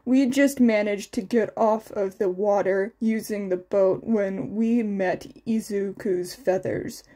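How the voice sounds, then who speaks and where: Woman as a calm, slow-speaking teenage boy. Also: medium pitch, pitched below the performer's own voice. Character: calm, matter-of-fact teenage boy student.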